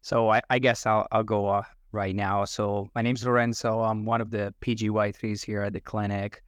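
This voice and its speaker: A man's voice: lowish voice, little pitch movement, normal speed, no echo. A man in his thirties from Italy, Italian his first language, a medical resident speaking in a friendly, eager way. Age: 30s. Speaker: resident co-host. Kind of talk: podcast introduction and questions.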